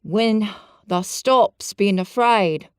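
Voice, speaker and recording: A woman's voice: medium pitch, darkish tone, clear, resonant tone, dry recording, clean studio sound. Woman doing a stout Yorkshire country lad. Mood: reassuring. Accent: Yorkshire dialect. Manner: stout, slow and reassuring. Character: cheerful, sturdy country boy.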